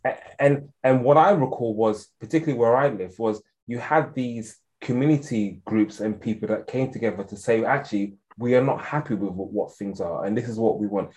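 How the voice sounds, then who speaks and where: A man's voice: fairly low pitch, a little fast, hardly any pauses, a little mellow, low-quality recording. A man with an English accent answering interview questions as an expert guest, speaking earnestly and thinking aloud with frequent restarts. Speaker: guest expert on African security policy.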